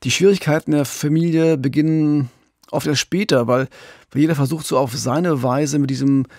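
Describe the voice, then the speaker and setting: Gravelly-voiced male true-crime podcast host. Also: fairly high pitch, moderate pace, a little mellow, no echo, studio quality.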